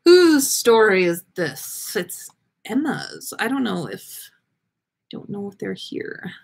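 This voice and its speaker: A woman podcast host reading stories aloud in an animated, playful, expressive way, with casual chat. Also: medium pitch, takes it slowly, gravelly, breathy edge.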